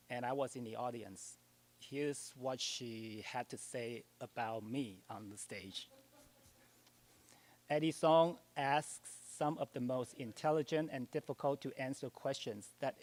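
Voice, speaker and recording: Middle-aged taiwanese guy giving a library talk, lots of ums, a bit hesitant, self-deprecating. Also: mid-range voice. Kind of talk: public talk. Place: public library event.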